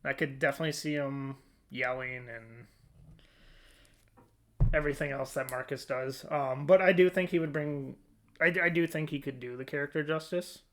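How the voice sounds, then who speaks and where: A man's voice: mid-range voice, normal speed, fairly bright tone. A man hosting a casual podcast discussion, talking informally and a little hesitantly as he thinks aloud. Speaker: podcast host leading a discussion. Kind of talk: casual chat.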